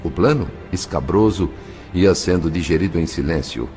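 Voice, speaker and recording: A Brazilian man playing a composed spirit narrator in an audio novel, speaking in a formal, articulate, measured way. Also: deep voice, darkish tone. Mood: grave, composed. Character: composed male spirit narrator recounting his undercover mission. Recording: music behind the voice. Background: music.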